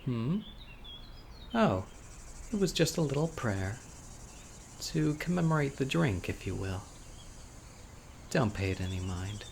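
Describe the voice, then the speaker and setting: A man playing a gentle, eager, critically ill young man with a secret obsessive streak on a date, in an audio roleplay, speaking hesitantly and softly with muttered asides. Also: mid-range voice, big pitch swings, takes it slowly, vocal fry, long pauses, slight background noise, heavily acted. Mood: anxious, pleased. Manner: hesitant, soft-spoken, with muttered whispered asides. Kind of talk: roleplay audio drama, intimate boyfriend monologue. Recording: outdoor nature ambience, birds and insects. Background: birdsong, crickets and insects.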